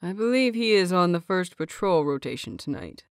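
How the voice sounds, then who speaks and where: Woman doing a strict older uncle teacher in an audio story, muttery, formal, low and fed up, dry sound. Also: animated, normal speed, no room sound, natural voice. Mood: weary, formal. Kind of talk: audio story character reading.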